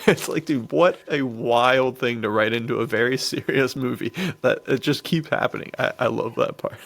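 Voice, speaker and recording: A man's voice: mid-range voice, moderate pace, fairly bright tone. A man chatting casually as a podcast co-host in a laid-back, slangy way. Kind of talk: casual chat about western films.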